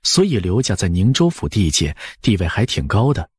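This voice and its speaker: A man narrating an audiobook as expressive scripted storytelling, with a husky voice. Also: deep voice, normal speed, no room sound, clean studio sound.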